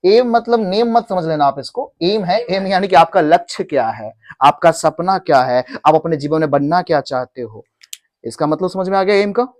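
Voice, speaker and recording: A man teaching English in to a class, repeating each phrase slowly for students to echo. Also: on the high side, expressive, a little mellow. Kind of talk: classroom English lesson in Hindi.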